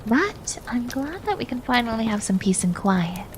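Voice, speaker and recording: A woman acts out a young maid sister in an ASMR roleplay, soft-spoken and ladylike. She teases and scolds playfully and gets flustered easily. She is close on the mic, with noticeable noise behind her. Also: fairly high pitch, very animated, normal speed. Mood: soft, fond. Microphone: close binaural ear microphone. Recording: noticeable background noise, binaural ASMR microphone.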